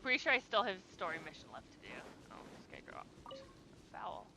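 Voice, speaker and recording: A woman's voice: medium pitch, wildly swooping pitch, a little fast, creaky, heavy background noise. A woman streaming a video game, chatting offhand with viewers and swearing casually as she plays. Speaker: gaming streamer. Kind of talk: casual live-stream chat while playing.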